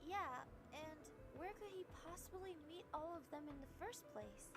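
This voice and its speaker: A woman's voice: very high-pitched, animated, normal speed. An actress playing a sweet, modest, curious young woman in a visual novel. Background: game music.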